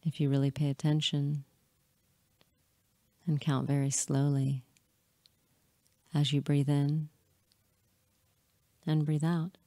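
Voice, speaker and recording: A woman guides a hypnosis session in a low, soft-toned and relaxed voice. She speaks very slowly and soothingly, leaving pauses between short phrases, and sounds close and dry, with no room around her. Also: dry recording. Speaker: hypnotist guiding listeners.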